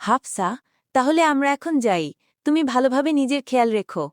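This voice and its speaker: A woman playing a kind, caring schoolteacher in a cartoon, gentle and earnest in manner. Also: sits high, animated, brisk, no echo, clean studio sound. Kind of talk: cartoon voice acting.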